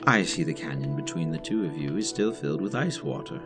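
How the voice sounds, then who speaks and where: A man playing a weary, regretful old gentleman in an audio drama, formal and earnest. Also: extremely low pitch, lively intonation, over loud music. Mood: brisk, authoritative. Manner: formal, earnest, measured diction. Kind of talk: scripted audio drama acting.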